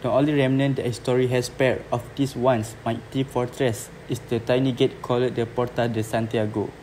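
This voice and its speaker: Man playing welcoming local tour guide, young male, Malaysian accent, medium pitch, flat stiff reading, slightly noisy recording. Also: moderate pace, lightly acted. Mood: informative. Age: young adult. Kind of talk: amateur student audio drama, read from script. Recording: amateur recording, background noise.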